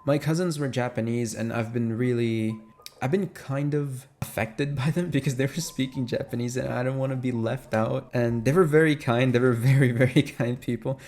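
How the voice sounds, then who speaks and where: A man's voice: medium pitch, steady tone, normal speed, darkish tone, faint music behind. A man with Tagalog as his first language, chatting casually and candidly as an audio creator answering fan questions, playful and free with swearing. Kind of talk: casual Q&A chat.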